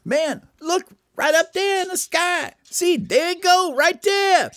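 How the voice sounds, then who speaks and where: A woman voicing an excitable, pushy little storybook bird who talks in street slang. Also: very high-pitched, slow, pitched above the performer's own voice, exaggerated voice. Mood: excited. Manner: slangy, says "man" constantly.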